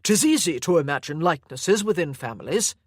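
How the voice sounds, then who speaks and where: A woman reading the part of an obsessive older gentleman who has kidnapped his supposed daughter. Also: low voice, animated, a little fast, breathy edge, dry recording, studio quality. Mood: insistent.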